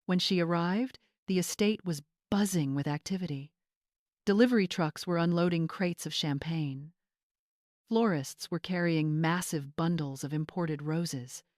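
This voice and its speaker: Female story narrator: lowish pitch, scripted drama delivery, dry close sound. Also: a little slow, darkish tone, no room sound.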